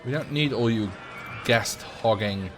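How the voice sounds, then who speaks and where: A young man with a Dutch accent chatting casually on a livestream, relaxed and rambling as he answers chat while playing a building game. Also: mid-range voice, a little slow, some chatter behind. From Netherlands. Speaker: streamer.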